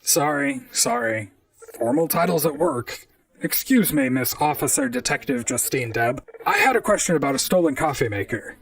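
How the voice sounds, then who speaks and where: A man playing a wry, deadpan robot private detective in a noir audio drama, both narrating and talking to others. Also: on the high side, normal speed, creaky, no echo, recorded from a distance, exaggerated voice, poor audio. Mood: casual, teasing. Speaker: narrator and lead character.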